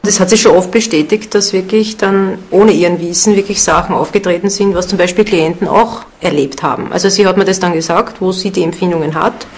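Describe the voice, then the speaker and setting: A woman's voice: lowish voice, steady tone, brisk, few pauses. A woman explaining her team's methods in an interview as an amateur paranormal investigator.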